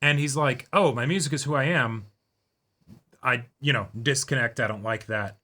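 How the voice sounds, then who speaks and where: Male, medium pitch, bright and punchy tone. Casual discussion co-host who feels his way through each point. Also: moderate pace. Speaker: co-host of an anime reaction show.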